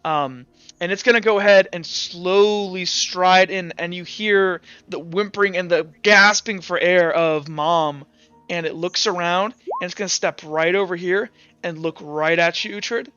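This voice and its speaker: Bright, clear, high-voiced man running a game. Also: dry recording, lo-fi sound. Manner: halting, casual, swears freely. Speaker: game master. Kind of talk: improvised tabletop game narration.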